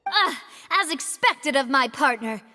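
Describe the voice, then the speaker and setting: A woman's voice: sits high, sing-song, unhurried, heavy background noise. An actress playing a confident, poised young woman in a video game, pointed and self-assured. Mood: triumphant. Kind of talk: dramatic video game voice acting.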